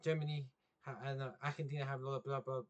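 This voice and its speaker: A man's voice: medium pitch, flat delivery, very fast, muffled recording. A young man from England in a casual, rambling football vlog, often correcting himself and losing his train of thought. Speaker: football vlogger.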